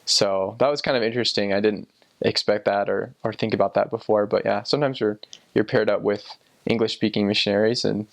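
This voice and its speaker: A man's voice: fairly low pitch, steady tone, a little fast, creaky. A young man telling personal experiences casually, in a rambling, informal way as if thinking aloud. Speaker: former missionary sharing experiences.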